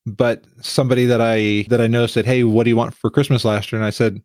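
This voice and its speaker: A man's voice: medium pitch, little pitch movement, fast, a little mellow, dry recording. A man hosting a podcast interview, chatting enthusiastically about his studio mic gear. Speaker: podcast host. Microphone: studio dynamic mic.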